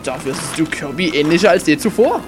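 Young man, high voice, animated, teasing, scripted audio drama, music behind. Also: brisk. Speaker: performer playing himself in audio drama.

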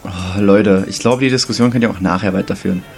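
A man's voice: on the low side, fast talker, gravelly, darkish tone. A man playing a mock-dramatic young adventurer and secret superhero in an amateur comedy audio drama. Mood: startled. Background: music.

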